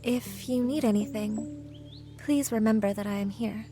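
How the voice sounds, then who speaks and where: A woman playing a shy, anxious young village woman in an audio drama, soft-spoken, hesitant and stammering. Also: a little high, measured pace, over music, lightly acted. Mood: tender. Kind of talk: fan audio drama acting.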